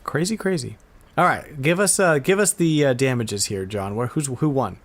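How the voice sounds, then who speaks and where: Guy hosting a retro gaming podcast, medium pitched voice, loose casual chat. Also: animated, normal speed. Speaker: podcast host. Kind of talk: casual chat about retro video games.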